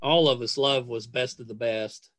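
An American man in his fifties hosts a casual podcast interview. His voice sits at a middle pitch, and he talks in a chatty, hesitant way, rambling as he circles toward his questions. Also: steady tone, moderate pace, fairly bright tone, no room sound. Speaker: podcast host.

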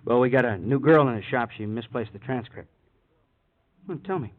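An actor playing a breezy, sardonic police detective sidekick in a 1950s radio crime drama, casual, clipped and drily needling. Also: male voice, medium pitch, normal speed, close to an everyday voice. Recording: old radio, phone-like band, faint noise.

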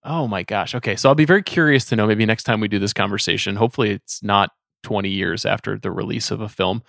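A man's voice: fairly low pitch, quick pace, gravelly, a bit bright, dry recording, clean studio sound. A man hosting a podcast, chatting casually about films and putting questions to his guests. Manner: conversational, asks the guests questions. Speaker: podcast host. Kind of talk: casual film discussion.

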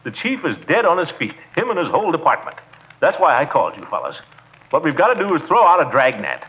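An actor playing a pompous, excitable middle-aged small-town commissioner with an American accent in a 1940s radio comedy. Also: male voice, fairly high pitch, fast. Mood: aggrieved. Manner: pompous, excitable, self-important. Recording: muffled old radio recording.

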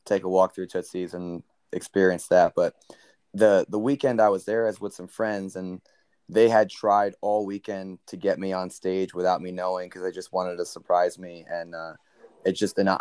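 A young man with a very low, smooth baritone voice tells a story in an easygoing interview. He rambles a little, leaning on ums and you-knows. The sound is close and dry. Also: moderate pace, no echo. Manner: relaxed, rambling with many fillers. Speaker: country singer, guest.

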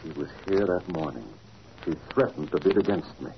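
A man's voice: low voice, animated, normal speed, gravelly. A man playing a domineering crooked businessman in a 1940s radio drama, commanding and contemptuous. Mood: grim realization. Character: domineering crooked businessman, a cold scheming boss. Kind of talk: 1940s radio villain acting. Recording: muffled, noisy old radio recording.

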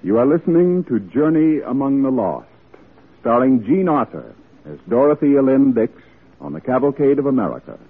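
A man's voice: medium pitch, big pitch swings, normal speed, slightly rough. A man announcing and narrating a scripted old radio program in a formal, declamatory style. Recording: muffled vintage radio broadcast recording. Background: music.

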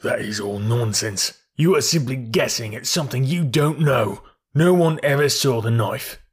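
A man's voice: mid-range voice, a little slow, gravelly, a bit bright, husky, exaggerated voice. A man voicing a frightened male ex-servant accused of murder, who stammers and protests. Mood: protesting.

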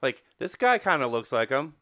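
A man's voice: mid-range voice, big pitch swings, normal speed, pushed and bright. Casual man co-hosting a podcast. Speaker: podcast co-host. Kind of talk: casual film and game discussion.

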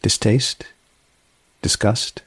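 A man hosting a casual interview, asking gently probing questions in a deliberate way. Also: dry recording. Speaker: host interviewer.

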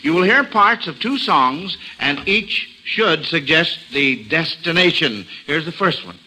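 A man's voice: fairly high pitch, animated, a little slow, poor audio. An American man hosting an old-time radio quiz show for children, with folksy, playful, exclamatory patter. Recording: old radio recording with background noise.

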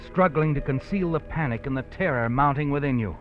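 An actor playing a knowing, sardonic narrator who taunts the protagonist in old-time radio suspense style. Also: male voice, medium pitch, brisk, natural voice. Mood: knowing, ominous. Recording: muffled old radio recording with music.

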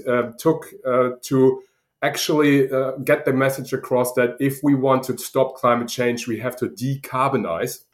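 A middle-aged man from Germany with a German accent, answering interview questions in a halting way with many fillers. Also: mid-range voice. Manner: halting, many ums and uhs. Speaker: guest researcher. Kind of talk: podcast interview.

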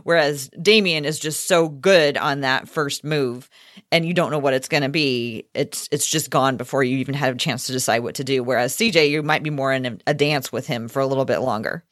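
A woman's voice: very deep voice, brisk, no room sound, studio quality. An American woman chatting casually about sports as a podcast co-host.

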